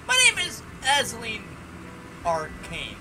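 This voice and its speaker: A man's voice: very high-pitched, wildly swooping pitch, slow, over music, voice pushed up, full character voice, low-quality recording. A man reading as a formal magic teacher. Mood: lecturing. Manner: formal, lecturing.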